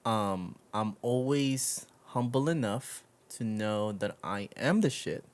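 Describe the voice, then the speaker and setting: A man's voice: fairly low pitch, takes it slowly, clean tone. A man hosting a casual conversational interview, speaking informally with slang and loose swearing. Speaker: interview show host.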